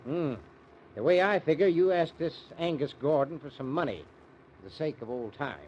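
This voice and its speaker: An actor with an American accent playing a folksy, scheming small-town sheriff in an old-time radio drama, with a rustic drawl. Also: male voice, on the high side, normal speed, close to an everyday voice. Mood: sly, insinuating. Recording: muffled, noisy old radio recording.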